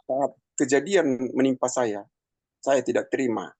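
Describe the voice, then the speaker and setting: A man in his fifties giving a personal testimony in a halting, conversational way, with many pauses and fillers. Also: mid-range voice, a little mellow, dry recording. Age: 50s. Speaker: testimony speaker.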